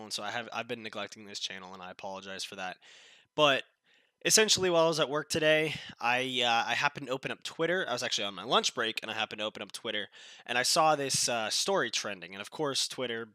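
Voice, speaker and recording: A man's voice: medium pitch, bright, forceful tone, dry recording. A young man with a stuffy, nasal voice from a cold, giving blunt, dismissive commentary as a channel host, often restarting his sentences. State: sick, nasal voice. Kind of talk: casual political commentary.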